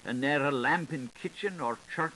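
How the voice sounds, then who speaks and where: Man as a sharp-tongued gossip, faint tape hiss. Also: medium pitch, normal speed, natural voice, rough recording. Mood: storytelling. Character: knowing, sharp-tongued Irish washerwoman telling scandalous gossip. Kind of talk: dramatic literary audiobook reading. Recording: hissy 1985 tape recording.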